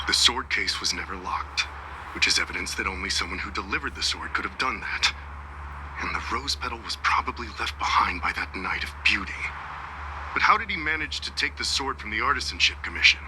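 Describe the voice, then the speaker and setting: Methodical male official for a game male actor, slightly deep, hesitant and pondering. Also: lively intonation, normal speed, background noise, natural voice. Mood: puzzled, pondering. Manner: hesitant, thinking aloud. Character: puzzled, methodical male official reasoning through a case. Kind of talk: video game voice acting.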